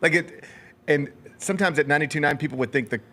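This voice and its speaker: A man's voice: fairly high pitch, fast talker, pushed and bright. Teasing male sports radio host. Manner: teasing, joking. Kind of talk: casual sports talk chat.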